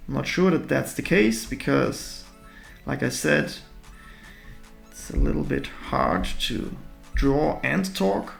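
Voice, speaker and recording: Gravelly man, slow drawing chat. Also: medium pitch, echoey room, faint music behind. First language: German. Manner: halting, self-deprecating, thinking aloud while drawing. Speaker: artist. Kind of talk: live drawing commentary.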